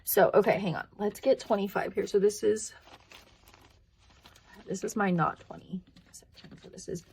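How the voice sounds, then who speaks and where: A woman vlogger talking casually and thinking aloud as she works, her voice croaky and tired from long talking. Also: medium pitch, steady tone, moderate pace, darkish tone. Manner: rambling, thinking aloud, counting to herself. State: voice tiring after long filming. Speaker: budgeting vlogger. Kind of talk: casual chatty hands-on narration.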